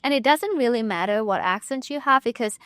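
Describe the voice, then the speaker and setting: A woman host with a Thai accent, asking gently leading interview questions. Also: a little high, lively intonation, fairly quick, no room sound. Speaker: host, English teacher.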